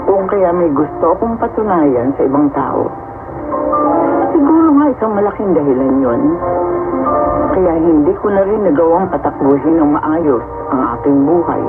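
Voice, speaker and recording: A money-hungry, insecure mother in a radio drama. She is dramatic and self-justifying, heard through a thin, phone-like sound with loud music behind her. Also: sits low. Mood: regretful. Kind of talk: Filipino radio drama acting.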